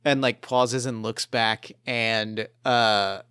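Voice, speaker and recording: Clear-voiced man running a tabletop game. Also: medium pitch, fairly even intonation, takes it slowly, pushed and bright, no room sound. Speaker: podcast host and game master. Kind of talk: improvised tabletop narration and casual chat.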